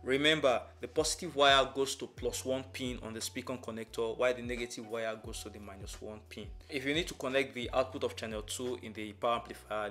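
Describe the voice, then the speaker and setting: A young man speaks at an average male pitch as he presents a tutorial. His delivery is measured and methodical, explaining each step in order to the viewer. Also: fairly bright tone. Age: young adult. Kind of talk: instructional explanation to camera. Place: small furnished room indoors.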